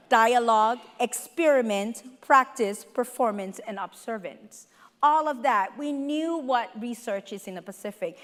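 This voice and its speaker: A woman with a Samoan accent, whose first language is Samoan, giving a warm and humorous welcome speech as a faculty member at a conference. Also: fairly high pitch. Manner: warm, heartfelt and humorous, with lists spoken slowly and deliberately. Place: large conference hall. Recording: hall with long reverb.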